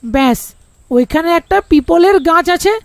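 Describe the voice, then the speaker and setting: A woman's voice: very high voice, animated, a little slow, no echo, pitched above the performer's own voice, exaggerated voice. A woman voicing a wary, ominous village man in a cartoon horror story. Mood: grudging.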